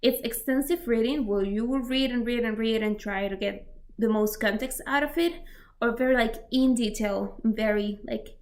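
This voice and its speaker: A woman's voice: on the high side, normal speed, a little mellow, reverberant. A young woman, a native Spanish speaker with a Spanish accent, casually explaining language learning tips with lots of fillers and examples. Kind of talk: casual instructional talk.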